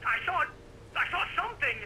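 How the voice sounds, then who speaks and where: A man's voice: very high voice, very animated, brisk, background noise. An actor playing a jittery, nervous male friend in a horror video game.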